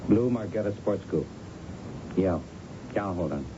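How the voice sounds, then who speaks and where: An actor playing a grave, persistent police lieutenant in a radio drama, speaking in a deliberate, formal, probing way. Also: male voice, low voice, expressive. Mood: businesslike. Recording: dull, noisy old radio recording.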